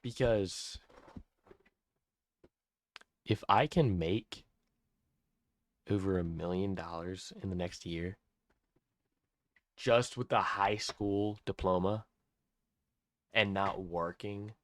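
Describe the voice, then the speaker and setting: A man's voice: low-pitched, slow pace, pauses often, a bit bright, dry recording. Awed teenage guy ranting, dry sound. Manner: awed, repeats himself, restarts often. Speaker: vlogger. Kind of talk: excited personal rant.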